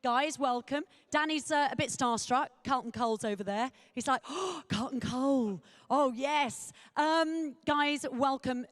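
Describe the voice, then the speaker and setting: A high-voiced woman hosts a live segment from a fan zone, with a close, dry sound. She greets her guests warmly and teases them lightly. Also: unhurried, few pauses, no room sound. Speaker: presenter. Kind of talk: live broadcast presenting. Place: outdoor fan zone in a city square.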